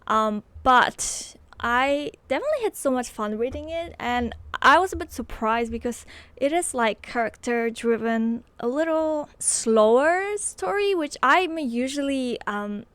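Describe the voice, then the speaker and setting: A woman's voice: sits high, expressive, unhurried, a bit bright, clear, resonant tone, no room sound. A young woman, chatting enthusiastically about her favorite books and using lots of fillers, close to a handheld mic in a small room. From Poland. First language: Polish. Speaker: book reviewer. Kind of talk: casual book review vlog. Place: small bedroom with bookshelves, indoors. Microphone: handheld microphone close to mouth.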